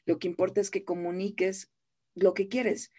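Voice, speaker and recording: A woman's voice: medium pitch, level tone, normal speed, mellow. A Mexican woman who teaches voice acting, speaking earnestly and encouragingly, repeating phrases for emphasis. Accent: Mexican Spanish. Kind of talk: interview guest.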